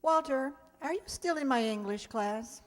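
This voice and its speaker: A high female voice rings out in a long, echoey hall. It is an actress as a dry, wisecracking schoolteacher from a vintage-style radio comedy, tossing off wry remarks. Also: measured pace. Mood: sardonic. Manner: dry, sardonic. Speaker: lead actor and narrator. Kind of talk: old-time radio comedy acting.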